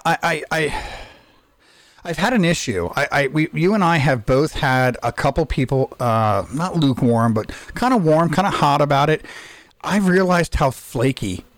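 A man co-hosting a podcast in casual chat, hoarse from losing his voice, rambling with frequent restarts. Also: mid-range voice, dry recording. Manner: rambling, lots of restarts and fillers. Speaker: podcast co-host.

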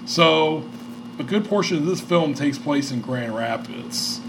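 A man's voice: on the high side, lively intonation, unhurried, noisy background. A man in his thirties hosting a podcast, telling a story in a rambling, profane way with lots of fillers. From Michigan, United States. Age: 30s. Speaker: podcast host. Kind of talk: casual podcast chat.

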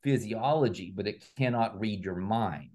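Male interview guest, fairly low pitch, conversational, deliberate explainer who qualifies each point. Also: dark tone. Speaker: interview guest, author and teacher.

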